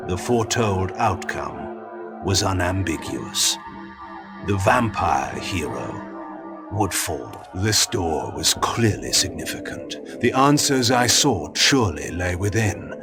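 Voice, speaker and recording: A man voicing a proud, brooding vampire lord in a video game, narrating theatrically and deliberately. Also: on the low side, heavily acted. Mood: wary. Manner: grandiloquent, deliberate, portentous.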